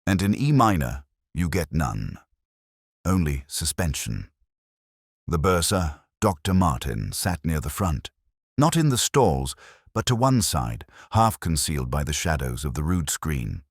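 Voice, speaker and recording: Male narrator, extremely low voice, expressive rise and fall, deliberate brooding mystery read, dry close recording. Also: breathy edge, no room sound. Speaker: narrator, speaking as a detective. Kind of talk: scripted first-person literary mystery narration.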